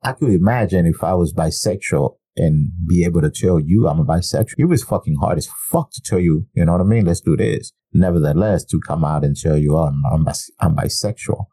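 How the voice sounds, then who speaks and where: A man's voice: deep voice, expressive, brisk, few pauses, warm tone, husky, no echo. A man co-hosting a podcast in casual chat, laughing often and restarting his sentences as he reads items aloud. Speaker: podcast co-host.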